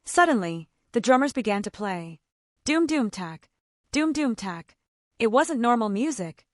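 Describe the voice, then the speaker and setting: Animated, high-pitched woman storyteller. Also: unhurried, no echo, studio quality. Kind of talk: dramatic scripted folktale narration.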